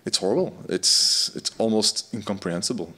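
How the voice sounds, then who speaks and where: Very low-voiced Dutch man. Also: moderate pace, pushed and bright, husky. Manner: thoughtful, emphatic, many restarts. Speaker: host. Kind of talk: casual video-call chat. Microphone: video call.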